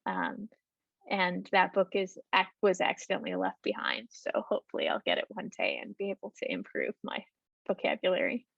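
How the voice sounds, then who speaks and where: A woman's voice: medium pitch, sing-song, moderate pace, vocal fry, a bit bright, breathy edge, no room sound. A woman presenting a travel talk and answering audience questions over a video call, hesitant with many ums and restarts. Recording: dull lo-fi video call audio.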